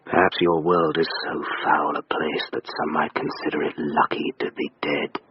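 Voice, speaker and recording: An actor playing a railway station as an ominous spirit, narrating poetically in a slow, solemn, hushed manner for radio drama. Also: male voice, very deep voice, flat delivery. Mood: grim, ominous. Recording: muffled radio recording, faint noise.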